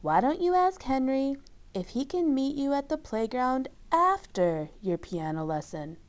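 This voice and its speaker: A kindly, sensible woman of reason from a children's storybook as a female reader puts her on. She speaks slowly and soothingly, in a smooth, measured voice. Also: high-pitched, very animated, voice pushed up. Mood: calm, reasoning. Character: PFC, calm kindly tall woman, the brain's sensible voice of reason.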